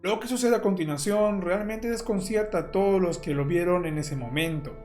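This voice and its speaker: A man's voice: high-pitched, normal speed, darkish tone. A man reading scripted, documentary-style horror narration as the narrator. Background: music.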